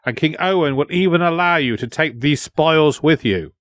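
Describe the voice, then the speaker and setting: Taunting warrior herald, played by a male narrator, declaiming a king's demands in a storybook, raised pitch, dry sound. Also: normal speed, no room sound, close to an everyday voice.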